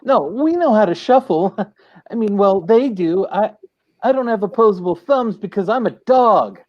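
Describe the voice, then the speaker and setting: A woman's voice: mid-range voice, animated, unhurried. A woman playing a sensible, earnest talking dog superhero in a comedic radio play, on muffled video-call audio. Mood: reasonable. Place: dim room at home, on a video call.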